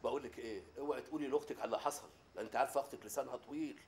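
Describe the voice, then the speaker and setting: A man's voice: mid-range voice, darkish tone, slight background noise, lightly acted. An actor playing an older merchant who snaps bluntly at his ex-wife, with an Egyptian accent. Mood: irritated. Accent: Egyptian Arabic. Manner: blunt, snapping, rapid. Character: older merchant, gruff and quick-tempered. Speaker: Hajj Salama.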